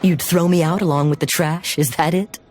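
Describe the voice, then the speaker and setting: A woman's voice: deep voice, steady tone, a little fast, darkish tone. A woman voicing a sly tavern drinker with elegant airs in a video game, teasing with suave, barbed politeness. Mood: barbed, goading.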